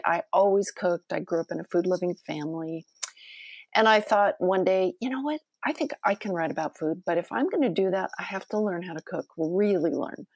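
A middle-aged American woman, a cookbook author and cooking teacher, telling lively self-deprecating stories in a soft voice during an interview. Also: lowish voice, no echo. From United States. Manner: animated storytelling, self-deprecating. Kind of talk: conversational interview.

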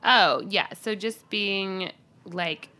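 Bright-voiced woman with dry, blunt humor. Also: medium pitch, drawn-out words. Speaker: podcast co-host. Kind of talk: casual comedic chat.

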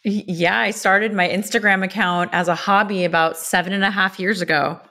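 A woman's voice: mid-range voice, fairly even intonation, normal speed, pushed and bright. A woman in her thirties from Los Angeles, a cookbook author and food blogger talking candidly as a guest in a podcast interview. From Los Angeles, USA. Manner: candid, open, chatty with small restarts.

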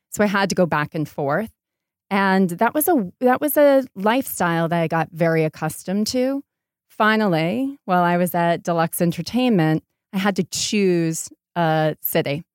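Female podcast guest, American, lower register, thoughtful and emphatic, conversational, very dry recording. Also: no room sound. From Maine, United States. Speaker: podcast guest, startup entrepreneur. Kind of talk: conversational interview answers.

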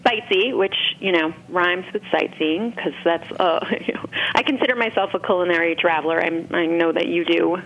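A woman's voice: on the low side, moderate pace. A woman talking casually as an interview guest, explaining things with frequent conversational filler phrases. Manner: explanatory, often interjecting "you know". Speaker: interview guest, food writer and entrepreneur. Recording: muffled, band-limited with clear background noise.

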